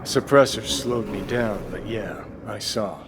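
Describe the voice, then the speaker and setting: A sardonic, blunt rebel. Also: male voice, on the low side, unhurried, background noise. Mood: subdued. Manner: sardonic, laconic. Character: cynical, brash male rebel, abrasive and blunt. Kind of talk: scripted game voice acting.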